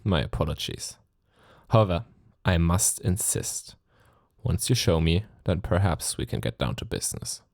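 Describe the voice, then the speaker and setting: A man performs a suave, cruel vampire lord for an intimate ASMR roleplay. His voice is low and creaky, recorded close and dry. He speaks with theatrical, condescending courtesy, seductive and mocking as he lingers over his phrases. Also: sing-song, normal speed, darkish tone, no echo, exaggerated voice. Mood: curious, mocking.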